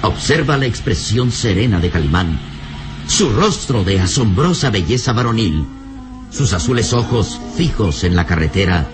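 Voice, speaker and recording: A man's voice: fairly low pitch, expressive, moderate pace, over music, close to an everyday voice. A man narrating an old radio serial from a script, in a florid, solemn, theatrical manner. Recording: noisy old radio recording.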